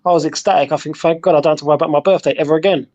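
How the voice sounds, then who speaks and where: Fast-talking British man in his forties. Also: on the high side, fairly even intonation, dull audio, crowd noise. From United Kingdom. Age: 40s. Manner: teasing, quick banter. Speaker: podcast guest. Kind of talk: casual group chat.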